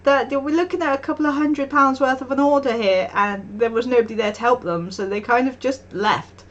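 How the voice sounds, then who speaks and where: A woman's voice: sits high, fairly quick, lo-fi sound. A woman streaming live, chatting casually and telling stories with frequent laughs and acted-out quotes. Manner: chatty storytelling, often laughing, acting out quotes. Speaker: art streamer.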